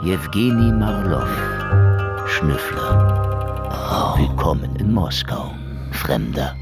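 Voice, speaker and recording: A man's voice: sits low, sing-song, drawn-out words, heavily acted. An actor playing a sardonic, hard-drinking Russian private snoop in a noir radio play, wisecracking and needling as he goes. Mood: grim, darkly ironic. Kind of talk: noir radio drama acting with first-person narration. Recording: studio radio play with music underneath.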